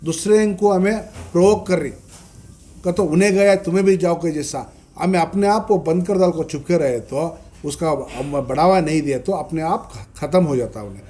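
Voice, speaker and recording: A man with a South Indian Dakhni Urdu accent giving a press statement as a spokesman, explaining haltingly and repeating himself with English terms mixed in. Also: a little high, dark tone. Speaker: party minority-wing official, spokesman. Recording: noisy press meet recording.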